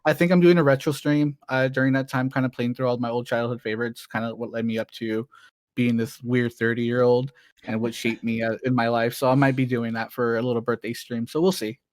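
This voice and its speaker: A man's voice: mid-range voice, little pitch movement, fairly quick, no room sound. Guy in his late 20s rambling about old games, laughs a lot, super dry mic. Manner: chatty, laughs easily, rambling with fillers. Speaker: interview guest, streamer. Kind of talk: casual interview chat.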